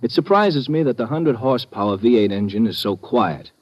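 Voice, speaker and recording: A man's voice: medium pitch, expressive, brisk, no room sound. A man delivering a scripted customer testimonial in a 1950s radio advertisement. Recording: dull vintage radio recording.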